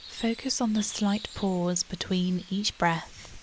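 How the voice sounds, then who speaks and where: A woman leading a guided meditation in a calm, soothing manner with long pauses. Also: medium pitch, a little hiss or hum. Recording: steady outdoor nature ambience with birdsong. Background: birdsong and nature ambience.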